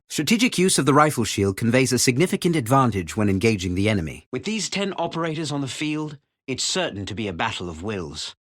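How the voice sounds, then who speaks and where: Formal, expressive male game commentator. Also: mid-range voice, no echo, studio quality. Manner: measured, formal, theatrical delivery. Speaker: game announcer. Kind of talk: scripted esports-style commentary.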